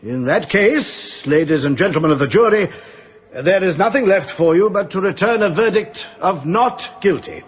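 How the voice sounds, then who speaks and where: Solemn English courtroom judge. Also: male voice, high-pitched, animated, highs cut off. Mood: solemn, gracious. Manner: measured, ceremonious. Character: solemn, formal male judge addressing court. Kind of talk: radio drama acting.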